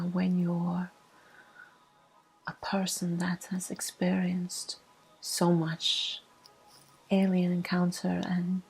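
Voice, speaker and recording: A woman telling a personal story in a soft-spoken ASMR style, hushed and unhurried, with a breathy, husky voice that often drops into a whisper. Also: fairly low pitch, rough recording.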